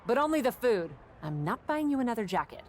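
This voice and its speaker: A woman's voice: a little high, big pitch swings, a little fast, a little mellow, a little hiss or hum. A woman playing a confident, determined indie pro wrestler with a wry, self-assured manner in video game cutscene dialogue.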